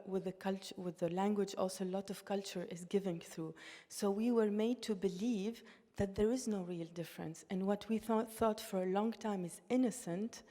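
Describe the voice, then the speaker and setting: A woman's voice: medium pitch, dark tone, in a large hall. A woman who grew up in Tunisia with Russian as her first language, speaking earnestly as a panelist, often restarting her words. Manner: earnest, thoughtful, with frequent restarts. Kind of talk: panel discussion talk.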